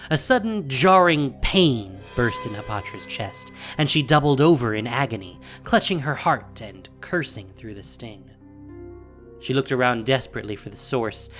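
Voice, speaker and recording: A woman narrating a scripted fantasy story in a theatrical, measured, dramatic style. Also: rumbling low.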